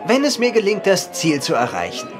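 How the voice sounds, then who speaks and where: A man's voice: sits high, wildly swooping pitch, a little fast, music in the background. Boastful stubborn man, wildly swooping voice. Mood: defiant. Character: boastful, stubborn male runner in a wager. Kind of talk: German audio drama acting.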